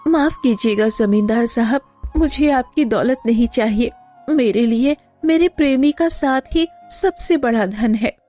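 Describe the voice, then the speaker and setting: A devoted young village woman as a female performer plays her, with a high, earnest voice. She is polite yet dramatic, in cartoon storybook style. Faint music runs beneath her. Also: a little slow, warm tone, close to an everyday voice. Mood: politely firm. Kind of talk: Hindi cartoon moral-story acting.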